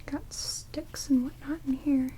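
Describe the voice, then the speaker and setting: A soft, mellow whisper from a woman playing a rude vampire lady in an ASMR roleplay. She is dry, snarky and casual, taking her time with every phrase. Close binaural mics pick up a light hiss of rain behind her. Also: high-pitched, steady tone, a little slow. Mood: grudging, brisk. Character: rude, sarcastic female vampire grudgingly tending wounds. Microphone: close binaural microphones.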